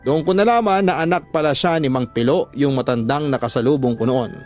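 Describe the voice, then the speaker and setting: A male radio drama narrator with a mid-pitched voice tells a story in the first person. His delivery is scripted, measured and dramatic, with music playing underneath. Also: a little mellow.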